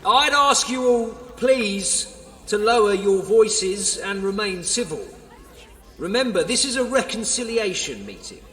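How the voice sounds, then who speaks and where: A man's voice: high-pitched, sing-song, a little slow, pushed and bright, exaggerated voice. An actor playing a defensive, placating male police official with an English accent in an audio drama. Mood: pleading. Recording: reverberant hall, distant mic.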